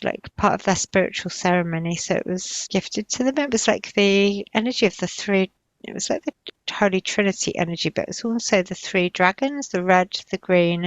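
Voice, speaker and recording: A woman giving an unscripted, trance-like spiritual channeling, describing visions in halting, repetitive, searching phrases. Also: fairly low pitch, no echo. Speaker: spiritual healer channeling in a session.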